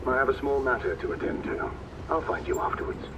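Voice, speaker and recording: A veteran knight commander in a video game, heard over a dull radio link. He sounds distant, calm and dryly witty, with clear noise around him. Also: medium pitch, brisk. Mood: measured, dry.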